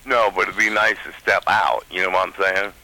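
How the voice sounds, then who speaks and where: A man's voice: sits low, fairly quick, creaky, sharp tone, dry recording, low-quality recording. A man calling in to a radio talk show, plainspoken with casual grammar, arguing his point. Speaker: caller. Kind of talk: call-in radio conversation.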